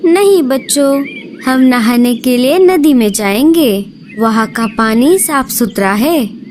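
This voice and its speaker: A woman voicing Lado, a kind and hardworking mother bird in a cartoon, speaking gently and patiently. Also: sits high, lively intonation, slow pace, a little hiss or hum. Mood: gentle.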